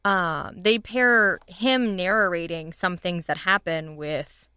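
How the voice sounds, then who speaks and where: A woman's voice: lowish voice, slow, sharp tone, smooth, dry recording, clean studio sound. A young woman chatting casually as a guest on a podcast. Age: young adult. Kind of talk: casual chat.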